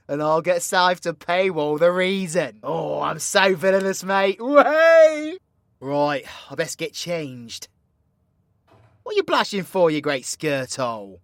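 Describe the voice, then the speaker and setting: A man's voice: sits high, fairly bright tone, no room sound, clean studio sound, heavily acted. A British man playing a cocky, foul-mouthed, vengeful supervillain magician in an audio roleplay, with slangy, theatrical, teasing banter. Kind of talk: ASMR-style audio roleplay, addressing an unheard companion.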